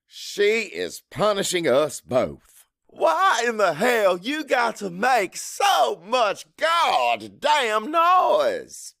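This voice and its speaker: An actor playing a crude, hot-tempered Cajun father who narrates his own story in a gruff, expressive audiobook style. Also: sits high, very slow, no echo, studio quality, full character voice. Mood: angry. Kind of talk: first-person dramatic audiobook narration.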